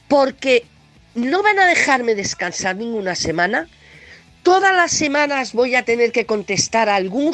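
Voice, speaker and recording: A woman's voice: on the high side, fairly bright tone. A woman with a Castilian Spanish accent and a heavy cold delivers a scathing political opinion monologue as a presenter, blunt, sarcastic, emphatic and indignant. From Spain.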